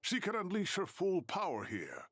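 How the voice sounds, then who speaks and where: A man's voice: high-pitched, wildly swooping pitch, moderate pace, vocal fry, a bit bright, a little hiss or hum, exaggerated voice. A man voicing an earnest, articulate scholar in a dramatic video game scene. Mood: grave, emphatic. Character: earnest adult male scholar who studies the ley lines.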